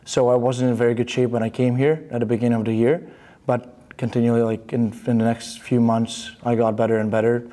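Relaxed young man, mellow voice. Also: mid-range voice. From Bulgaria. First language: Bulgarian. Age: young adult. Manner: relaxed, halting with fillers and restarts. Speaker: student athlete interviewee. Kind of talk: casual interview answers. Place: studio in front of a banner.

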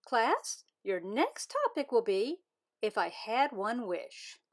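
Woman as a slow, kindly bear schoolteacher. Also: a little high, wildly swooping pitch, heavily acted. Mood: announcing. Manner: measured, announcing to a class. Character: composed, kindly but firm female bear schoolteacher.

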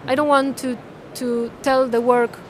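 A woman, talking haltingly and thoughtfully about her artwork, often tagging phrases with "no?". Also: sits high, flat delivery, a little hiss or hum. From Mexico. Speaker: artist. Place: large indoor art fair hall. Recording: crowd chatter in a busy hall.

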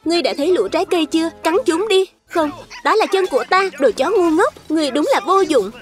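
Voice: very high voice, expressive, fast. A bumbling villainous man of science played by an actor in a children's cartoon. He is scornful and bossy, barking commands over music. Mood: angry.

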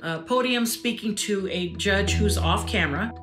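A woman's voice: mid-range voice, normal speed. A woman giving police commentary in a true-crime documentary. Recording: roomy, music underneath.

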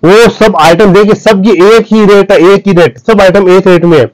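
A man in his thirties with a high-pitched voice. He delivers an emphatic sales pitch to camera as a wholesale seller, boasting about his goods as he goes. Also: fairly even intonation, normal speed, low-quality recording. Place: small clothing wholesale shop, indoors. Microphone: clip-on lapel mic.

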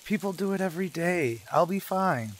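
An actor playing an anxious American man in his thirties in an old-time radio thriller, with measured, dramatic delivery. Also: high voice, lively intonation. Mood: affectionate, reassuring. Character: anxious 36-year-old American man telling his eerie road story. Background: music.

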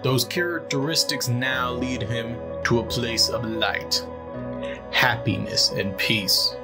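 Solemn pastor for audio drama funeral, male actor: slow, reverent delivery, mid pitch, music underneath. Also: steady tone, close to an everyday voice. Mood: reverent, consoling. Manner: measured, reverent, deliberate. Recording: music behind the voice.

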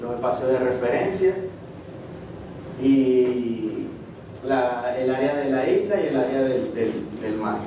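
Slow-talking Venezuelan man in a very echoey room. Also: medium pitch, lively intonation. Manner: halting, with frequent tag checks. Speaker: course participant presenting, marine geology researcher. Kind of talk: informal spoken presentation. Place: medium-sized indoor seminar room. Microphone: handheld microphone through PA, picked up by far camera. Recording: echoey room, distant camera microphone, noisy.

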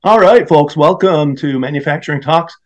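A man's voice: a little high, normal speed. A middle-aged man hosting an interview podcast, talking in a friendly, upbeat way from a home office. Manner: friendly, upbeat, with fillers and restarts. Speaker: podcast host. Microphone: podcast mic on a video call. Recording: slightly dull, lo-fi sound.

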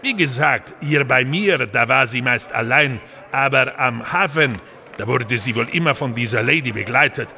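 An actor playing a busy, impatient Italian innkeeper in an audio drama, speaking curtly. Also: male voice, mid-range voice, animated, normal speed, creaky, pushed and bright, heavily acted. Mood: grudging. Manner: curt, impatient, peppered with Italian words. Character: brusque, busy Italian innkeeper running a trattoria.

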